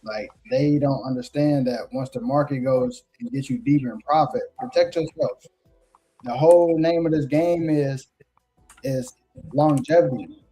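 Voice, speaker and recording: A man's voice: mid-range voice, measured pace, mellow, faint music behind. A man in his thirties hosting a live trading show, coaching viewers in a casual, slangy way. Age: 30s.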